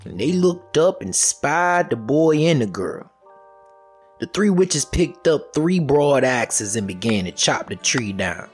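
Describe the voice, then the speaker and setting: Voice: mid-range voice, big pitch swings, takes it slowly, husky. A storyteller retelling a folk tale in a folksy, dramatic way, with music behind. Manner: folksy, dramatic, repetitive chanting delivery.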